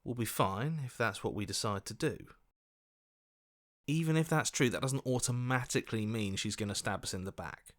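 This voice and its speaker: An actor playing an earnest, anxious heroic inner voice in a video game, protesting and questioning. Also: male voice, medium pitch, normal speed, natural voice. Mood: worried, protesting. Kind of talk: dramatic game voice acting.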